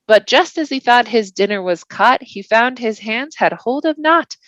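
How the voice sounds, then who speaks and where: A woman's voice: fairly high pitch, expressive, normal speed, a bit bright, no room sound, dull audio, voice pushed up. A woman voicing a humble young male hobbit with folksy rustic grammar, for a storybook. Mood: playful, singsong.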